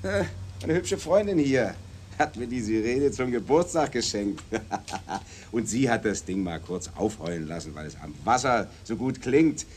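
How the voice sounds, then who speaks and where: An actor playing a cocky pimp in a radio play, mocking and wheedling by turns, with theatrical pleas. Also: male voice, medium pitch, animated, unhurried, a little mellow, slight background noise, close to an everyday voice. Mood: mocking, indignant. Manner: mocking, glib, theatrical pleading. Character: cocky, wheedling pimp pleading with and sneering at police.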